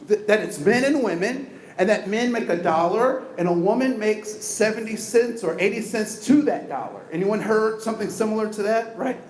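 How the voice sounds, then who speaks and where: A man giving an energetic, interactive diversity training talk, asking the audience questions. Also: high-pitched, reverberant, low-quality recording. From United States.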